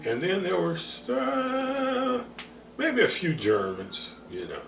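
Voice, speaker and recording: An older man from Chicago, recalling his neighborhood for his grandson in a slow, halting, casual interview. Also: high voice, sing-song, creaky, fairly bright tone. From Chicago, United States. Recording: roomy, voice from far off, faint music.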